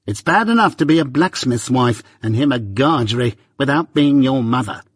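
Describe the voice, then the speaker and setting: A man voicing a shrill, scolding blacksmith's wife who talks in a sharp, loud, hectoring way. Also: expressive, measured pace, slightly rough, dry recording, studio quality.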